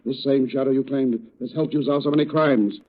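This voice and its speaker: A man's voice: medium pitch, fairly even intonation, brisk, lightly acted. A man playing a stern, impatient, demanding mayor in a 1930s radio drama. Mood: stern, insistent. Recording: muffled old radio recording.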